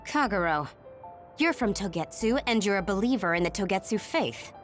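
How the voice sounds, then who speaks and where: A woman's voice: medium pitch, animated, normal speed, natural voice. A woman playing a cool, blunt young soldier in an English game dub, matter-of-fact. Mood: probing. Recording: faint music behind the voice.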